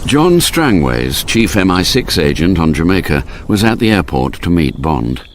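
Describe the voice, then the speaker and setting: A man's voice: low-pitched, sing-song, normal speed, slight background noise. A man acting as the narrator of a radio spy thriller, with dramatic scripted delivery. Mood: suspenseful.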